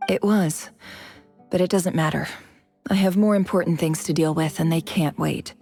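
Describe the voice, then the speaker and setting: An actress playing a cool, calculating young woman in a video game, a scholarly envoy who speaks with measured, polite persuasion. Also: lowish voice, fairly even intonation, gravelly, warm tone, soft background music. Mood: casual, evasive.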